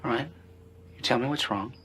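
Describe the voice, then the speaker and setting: An actor playing a film character who speaks firmly and directly, offering to fix a problem. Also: male voice, mid-range voice, a little fast, a bit bright, slightly rough. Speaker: film character giving advice. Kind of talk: film dialogue.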